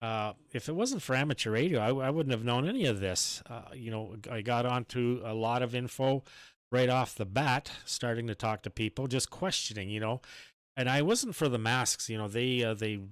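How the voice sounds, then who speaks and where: Guy doing ham radio chat, clean smooth voice, dry close mic, kinda halting. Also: medium pitch, no echo. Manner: halting, anecdotal. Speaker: amateur radio operator.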